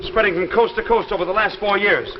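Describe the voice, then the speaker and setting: A noisy vintage broadcast carries a male actor as an intense American Army pilot, grounded and fixated on proving himself right. His voice sits a little high, emphatic and insistent, in an echoey 1950s drama sound. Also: steady tone, fast, muffled recording, natural voice. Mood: insistent, desperate. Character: earnest grounded Army pilot obsessed with proving flying saucers exist. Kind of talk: 1950s live television drama acting.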